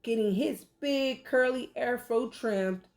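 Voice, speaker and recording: Slow, higher-voiced woman reading to children. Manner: deliberate, animated storytelling, pauses to question young listeners. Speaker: teacher reading a picture book aloud. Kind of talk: expressive children's read-aloud with questions to listeners.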